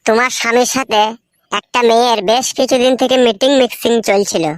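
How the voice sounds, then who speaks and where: A man voicing an earnest, cautious village neighbour in a cartoon, with a pitched-up voice. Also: steady tone, fairly quick, dry recording. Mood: confiding, serious.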